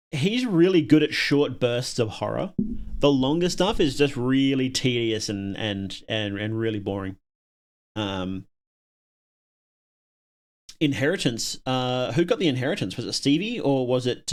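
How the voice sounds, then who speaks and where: This is a man with a mid-pitched voice talking casually on a livestream. He thinks out loud in a loose, rambling way. His sentences often trail off as he muses. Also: expressive, slow pace, long pauses. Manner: rambling, musing aloud, trailing off. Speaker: live streamer chatting with viewers. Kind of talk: casual off-the-cuff livestream chat.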